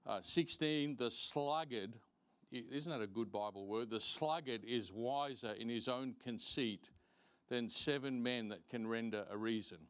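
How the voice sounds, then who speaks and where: Man preaching, emphatic and deliberate. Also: medium pitch, smooth. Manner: emphatic, deliberate, repeats phrases for stress. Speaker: preacher teaching Sunday school. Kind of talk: sermon, Bible teaching with verse reading. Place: church, live-streamed service.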